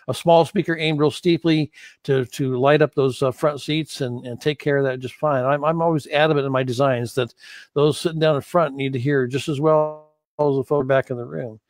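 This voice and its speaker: Man teaching, halting delivery, dry close sound. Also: fairly high pitch, no echo. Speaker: audio instructor. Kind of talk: explanatory screen-share lesson, unscripted.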